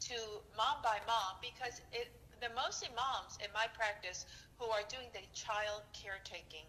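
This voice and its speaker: Bright-voiced woman pediatrician on a phone line. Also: fairly high pitch, a little slow, a little hiss or hum. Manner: lively, laughs often, acts out quoted remarks. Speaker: pediatrician guest. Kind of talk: phone interview, animated storytelling.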